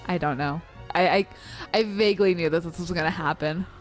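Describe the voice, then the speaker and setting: A woman's voice: on the low side, fairly quick, soft background music. A woman co-hosting a casual gameplay chat, dropping in short, wry remarks and laughing often. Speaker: gameplay co-host.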